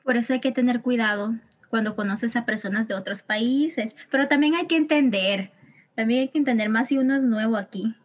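A woman's voice: a little high, brisk, lo-fi sound. A young woman explaining things in a casual group chat, with light laughter. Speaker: podcast guest, student.

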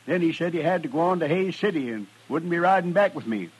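An actor playing a sly, folksy rancher who feigns innocence in a drawling rustic manner, in an old-time radio western. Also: male voice, on the high side, lively intonation, fast talker, husky, rough recording. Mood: self-righteous. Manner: drawling rustic speech, crafty. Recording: vintage radio drama recording.

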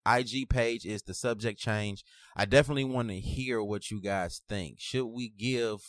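A man's voice: on the low side, moderate pace, no room sound. A man hosting a podcast in casual, slangy conversation, repeating phrases for emphasis. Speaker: podcast host.

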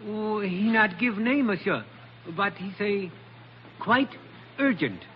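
Messenger boy: deferential, high-voiced, halting foreign English, muffled noisy vintage radio recording. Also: animated. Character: polite, eager foreign messenger boy at a casino. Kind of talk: 1940s radio adventure acting.

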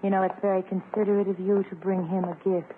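A woman's voice: medium pitch, little pitch movement, fast talker, lightly acted. Female actor poised coy lady, amused, teasing, 1950s radio comedy, thin noisy old recording. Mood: polite. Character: poised, amused, flirtatious woman being courted. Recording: narrow-band old radio recording, faint noise.

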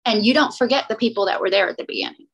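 A talkative woman co-hosting a podcast, rambling through casual chat with quick laughs. Her voice sits at a medium pitch and she drifts between thoughts. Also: vocal fry, husky. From southern United States. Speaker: podcast co-host.

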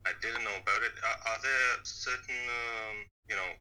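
A man's voice: medium pitch, fairly even intonation, normal speed, pushed and bright, poor audio. A man reading practice dialogues and asking the teacher questions, hesitant when he asks. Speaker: student co-host.

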